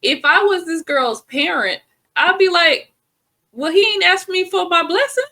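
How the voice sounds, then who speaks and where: High, bright woman reacting skeptically. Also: measured pace. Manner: skeptical, chatty. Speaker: reaction channel co-host. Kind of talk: casual reaction commentary.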